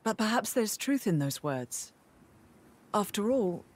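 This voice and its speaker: An actress voicing a friendly, modest, easygoing young heroine in a video game, with a casual, light manner. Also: female voice, medium pitch, big pitch swings, measured pace, breathy edge. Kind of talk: video game cutscene acting.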